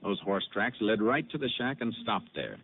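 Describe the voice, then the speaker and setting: A steady lawman who questions people in a calm, clipped, matter-of-fact way. His voice is very deep. It comes over a thin, tinny old radio broadcast with a faint hiss. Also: normal speed. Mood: firm. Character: steady Texas Ranger investigator and narrator. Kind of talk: 1950s radio drama narration and acting.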